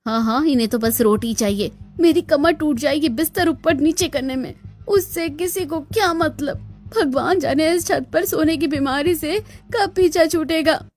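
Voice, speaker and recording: A woman's voice: high voice, normal speed, darkish tone, soft background music, lightly acted. A woman voicing a fed-up young village daughter-in-law in a cartoon, grumbling and gossipy. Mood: resentful muttering. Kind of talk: Hindi cartoon dialogue.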